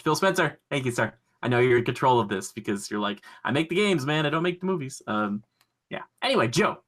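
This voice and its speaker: A man hosting a casual podcast, talking in an excitable, gushing, rambling way. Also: medium pitch. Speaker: podcast host. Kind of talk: casual enthusiastic pop culture podcast chat.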